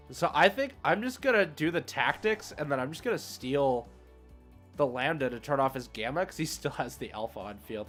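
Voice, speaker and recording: A man's voice: medium pitch, a bit bright. A man streaming an online card game, thinking aloud in casual, chatty play-by-play with slangy asides. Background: music playing behind the voice.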